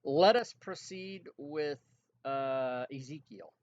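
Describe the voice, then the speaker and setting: A man's voice: mid-range voice, slow pace, a little mellow, dull audio. A man acting as game master, chatting easygoingly with his players over voice chat and laughing often. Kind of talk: running an online tabletop game session.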